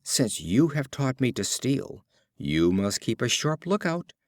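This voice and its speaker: A man's voice: mid-range voice, big pitch swings, unhurried, darkish tone, no room sound, studio quality. A man voicing a sly young wolf in a storybook fable, knowing and cautioning. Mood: sly warning. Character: sly young male wolf, slyly warning his master.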